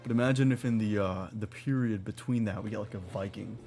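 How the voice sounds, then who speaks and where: A man's voice: on the low side, a little fast, warm tone, soft background music. A man giving casual live gameplay commentary as a streamer, musing aloud in a rambling way. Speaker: game streamer.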